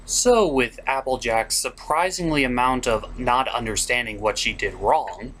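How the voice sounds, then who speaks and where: A man's voice: mid-range voice, a little slow, a bit bright, a little hiss or hum. A man giving comedic review commentary as a show host, with a theatrical, sarcastic, exclamatory delivery.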